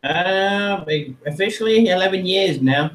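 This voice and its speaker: A man's voice: sits high, measured pace, fairly bright tone, roomy sound, slight background noise. A man from England whose accent blends English and American, joking dryly about himself in casual chat. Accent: mixed English and American. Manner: dry, self-deprecating humour.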